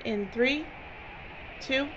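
Bossy, higher-voiced film director. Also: female voice, extremely slow. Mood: curt, impatient. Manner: curt, bossy, sarcastic. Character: bossy, irritable, sarcastic female film director. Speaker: actor in radio drama. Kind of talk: audio drama acting. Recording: muffled, clear background noise.

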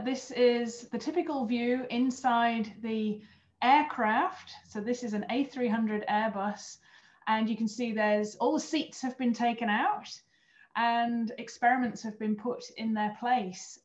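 A woman's voice: a little high, measured pace. A European woman in her thirties talks about her work as a guest on a video call interview. She explains things earnestly, restarting a phrase now and then. The sound is muffled and lo-fi, with a roomy echo around her voice. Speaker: guest, former astronaut trainer. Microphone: webcam on video call.

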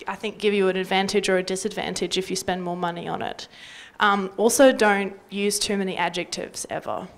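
A young Australian woman talking casually and wryly into a handheld mic on a panel. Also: mid-range voice. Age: young adult. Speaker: panellist from community radio. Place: indoor workshop panel before a small audience. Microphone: handheld microphone.

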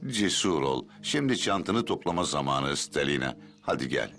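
A man's voice: very deep voice, sing-song, normal speed, vocal fry, fairly bright tone, heavily acted. An actor playing a protective, earnest and emotional circus man in a dubbed cartoon. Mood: earnest, reassuring. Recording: faint music behind the voice.

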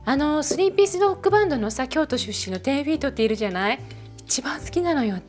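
A gushing bar hostess chats with her customer in a high, sing-song voice, played by a woman. She talks in a soft, feminine way that rises and falls a great deal. Faint music plays behind her. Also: expressive, fast talker. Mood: chatty. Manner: chatty, gushing, feminine sentence endings. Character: chatty middle-aged woman running a snack bar. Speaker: bar hostess in a role-play. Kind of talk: one-sided role-play with an unseen customer.

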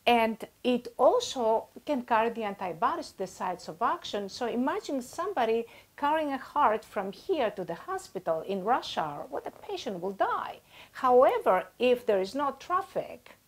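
A woman in her sixties explaining as a guest expert in an interview, animated and gesturing, using everyday comparisons, on a lapel mic in a small office. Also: a little high, breathy edge. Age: 60s.

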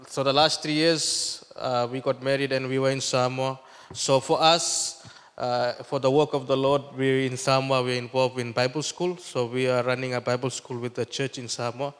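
A man's voice: medium pitch, fairly bright tone, clear, resonant tone. A man in his thirties from Fiji, a visiting Bible school leader, giving a testimony and then praying fervently before a congregation. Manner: earnest, fervent prayer. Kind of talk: testimony and closing prayer. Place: church hall, standing before the congregation. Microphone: handheld microphone. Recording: long hall reverb.

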